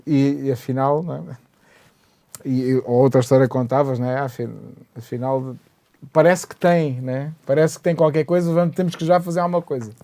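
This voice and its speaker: A man with a mellow, darker voice and a mid pitch moderates a studio panel. He speaks in a measured way and chimes in with steady agreement. The sound is close and dry. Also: dry recording. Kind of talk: studio discussion, reading aloud.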